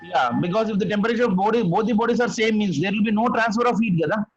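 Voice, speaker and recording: A male physics tutor, his voice a touch high for a man. He repeats key phrases and keeps asking if his student follows. The call audio is muffled and lo-fi with a faint hiss behind it. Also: very fast. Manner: patient, repeats key phrases, checks understanding with "isn't it?". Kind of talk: one-on-one tutoring session.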